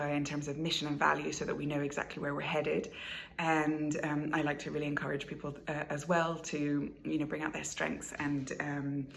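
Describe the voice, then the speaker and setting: A middle-aged woman talks in a low, gravelly voice over faint background noise. She presents herself informally as a job applicant, pausing often to think and feeling her way through each point. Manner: hesitant, reflective, pausing mid-thought.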